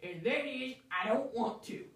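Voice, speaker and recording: A performer doing a folksy, drawling backwoods man, pitched up. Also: expressive, measured pace, fairly bright tone, echoey room, far from the mic. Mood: blunt. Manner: folksy drawl, rustic dropped-word speech. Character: Rancid, folksy backwoods man, lazy, blunt.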